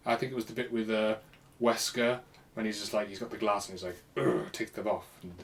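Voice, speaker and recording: Low-pitched man chatting casually. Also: level tone, fairly quick, vocal fry. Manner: blunt, sweary. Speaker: podcast co-host. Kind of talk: casual chat.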